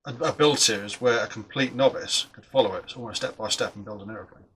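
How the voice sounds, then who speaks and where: A middle-aged man talks casually while he works on a model at his bench. His voice is bright and forward. He rambles and restarts his sentences, and there is a faint hiss of noise behind him. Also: fairly low pitch, quick pace. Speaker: model aircraft builder and channel host.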